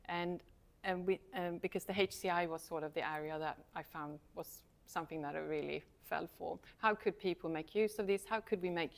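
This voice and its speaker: A woman's voice: lowish voice, brisk, gravelly. A middle-aged woman with a Swedish accent, a university lecturer giving a casual, self-deprecating talk to a student society and laughing often. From Sweden. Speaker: university lecturer, guest speaker.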